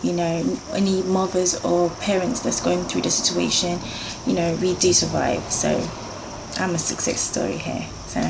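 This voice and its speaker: A woman, talking in a low, gravelly voice. She chats earnestly to her viewers and fills her sentences little fillers. Clear background noise a hum of insects runs under the recording. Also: moderate pace. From Jamaica. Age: 30s. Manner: chatty and earnest, with frequent fillers. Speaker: beauty vlogger. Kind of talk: casual talk to camera, going through a list.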